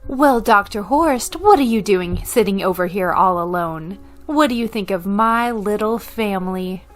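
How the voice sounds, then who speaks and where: A woman's voice: medium pitch, expressive, a little slow, faint music behind, natural voice. An actress playing a doting, folksy older mother who fusses over her guests in a radio drama. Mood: sociable.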